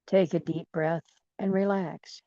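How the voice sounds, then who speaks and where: A woman leading guided imagery in a soothing, gentle manner, leaving long pauses between phrases. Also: lowish voice, fairly even intonation, dry recording, dull audio. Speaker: guided meditation leader.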